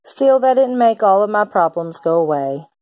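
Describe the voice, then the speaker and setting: A woman's voice: mid-range voice, animated, moderate pace, a little mellow, no room sound, studio quality. A woman reading a children's picture book aloud like a teacher, expressively acting out the characters' lines.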